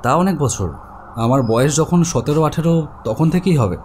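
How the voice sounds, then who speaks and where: A man playing an exasperated husband who runs a trucking business and tells his own story in an animated horror tale, talking conversationally. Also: fairly high pitch, a little fast, slight background noise, natural voice. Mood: puzzled. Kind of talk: Bengali animated horror story acting and narration.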